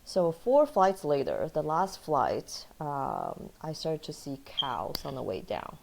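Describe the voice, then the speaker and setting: A woman from Taiwan and Kansas speaks in a low voice as an interview guest. She tells her story in an animated, easygoing way and laughs often. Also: slow, creaky, a little hiss or hum. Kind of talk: casual interview chat.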